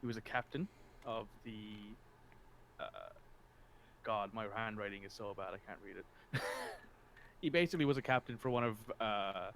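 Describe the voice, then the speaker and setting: A man's voice: lowish voice, normal speed, long pauses, fairly bright tone, slight background noise. A man talking casually as a player in a tabletop game session.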